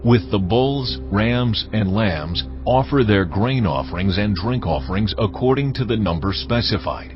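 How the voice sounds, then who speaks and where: Grave lawgiver, lowish voice, measured formal delivery, audio Bible drama, muffled lo-fi sound, clear musical backing. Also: male voice, animated. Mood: solemn, commanding. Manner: measured, formal, deliberate phrasing. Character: solemn male lawgiver voice dictating sacred ritual commands. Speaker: scripture reader. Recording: dull lo-fi audio, prominent music behind. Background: loud background music.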